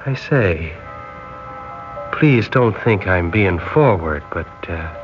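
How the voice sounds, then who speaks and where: A man's voice: sits low, animated, slow. An actor playing a polite, hesitant nineteen-year-old seaman from Northern Ireland in an old radio drama. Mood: wondering. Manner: polite, hesitant, formal British phrasing. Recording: dull old radio recording, music behind.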